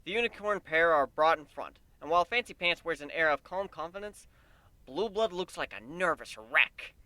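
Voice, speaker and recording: A man's voice: a little high, expressive, moderate pace, bright, forceful tone, no echo, low-quality recording. A man giving a dramatic first-person reading of a story as its narrator.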